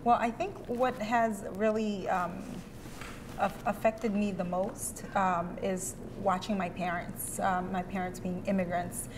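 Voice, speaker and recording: A woman's voice: medium pitch, fairly even intonation, a little slow, background noise. A woman from Haiti, a poet and educator, answering interview questions earnestly with hesitant fillers.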